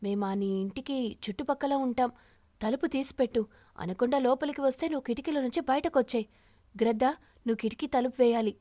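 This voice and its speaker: A woman's voice: high voice, a little fast, mellow, dry recording, lightly acted. A woman voicing a firm, sensible sparrow who leads a bird flock in a cartoon, earnest and instructing.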